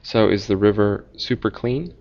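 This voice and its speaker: A man's voice: fairly low pitch, moderate pace, muffled recording. A man interviewing a guest, asking curious short questions and reacting with interest. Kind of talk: casual interview.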